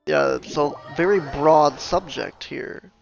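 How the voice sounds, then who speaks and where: A man's voice: a little high, takes it slowly, gravelly. A man giving casual gameplay commentary as he plays, recorded on a dull, lo-fi voice track. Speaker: game player commentating. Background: game music.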